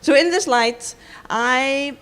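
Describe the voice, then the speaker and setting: A woman's voice: high voice, slow, a bit bright. Icelandic woman giving a conference talk. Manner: hesitant, many ums and restarts. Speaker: conference speaker.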